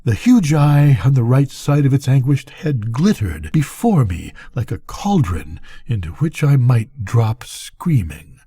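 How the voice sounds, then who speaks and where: An older man reading a bedtime story aloud as a storyteller, with deliberate, dramatic phrasing. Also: medium pitch, sing-song, warm tone, slightly rough.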